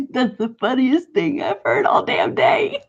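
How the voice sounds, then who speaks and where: A woman's voice: sits high, wildly swooping pitch, unhurried, breathy edge. A woman with a northern US accent chatting casually on a livestream, rambling and laughing often. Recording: dull lo-fi stream audio.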